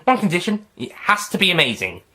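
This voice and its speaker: A man's voice: high-pitched, very animated, bright, forceful tone, voice pushed up, full character voice. A man voicing a cheerful, sarcastic alien time traveller in a read-aloud story. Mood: warm. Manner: brisk, playful and sarcastic.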